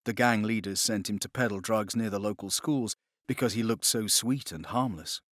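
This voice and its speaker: A man reading a novel as scripted dramatic narration, in a measured, expressive storytelling manner. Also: fairly low pitch, dry recording, studio quality. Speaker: audiobook narrator.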